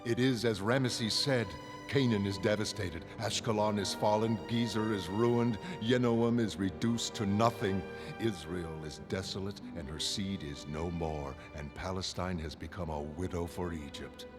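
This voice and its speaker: A man voicing a self-assured, cultured male mastermind in a motion comic, speaking in measured, formal, theatrical phrases. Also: low-pitched, lightly acted. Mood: triumphant. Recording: long reverb under music.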